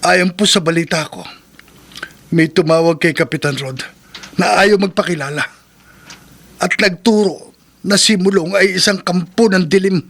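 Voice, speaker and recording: A man's voice: sits high, moderate pace, vocal fry, pauses often, fairly bright tone, heavily acted. A man playing a brooding, loyal young Filipino aswang, with earnest, emotional horror radio drama delivery. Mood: grieving, indignant.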